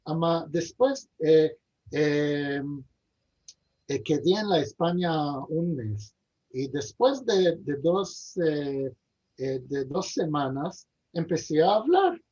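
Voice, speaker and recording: A man being interviewed over a video call, speaking haltingly with many pauses, fillers and repeated words. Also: fairly high pitch, lively intonation, darkish tone, breathy edge. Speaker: interview guest. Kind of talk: hesitant conversational interview. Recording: dull lo-fi video call audio.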